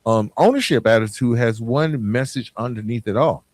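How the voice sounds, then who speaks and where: A man's voice: medium pitch, moderate pace, dry recording. A man hosting a podcast, giving a motivational talk straight to listeners in an emphatic, direct way, repeating phrases for weight. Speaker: podcast host.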